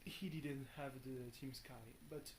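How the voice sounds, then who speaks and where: A young man from France with a booming voice, rambling through a casual vlog from his bedroom, full of fillers and restarts, swearing now and then. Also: fairly even intonation, a little slow, background noise. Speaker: vlogger. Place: small bedroom with reverb.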